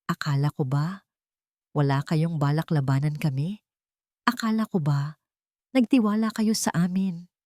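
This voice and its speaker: A woman's voice: sits low, a little slow, pauses often, dark tone, no room sound, studio quality. A woman voicing a cold, scornful daughter-in-law villainess in an audio drama, threatening and taunting. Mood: cold, accusing.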